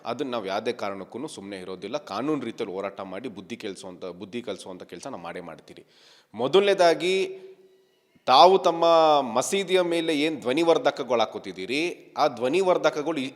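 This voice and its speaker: A man's voice: medium pitch, big-room sound. A man giving an emphatic statement to a news reporter as an activist leader, repeating phrases for stress. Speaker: activist leader being interviewed.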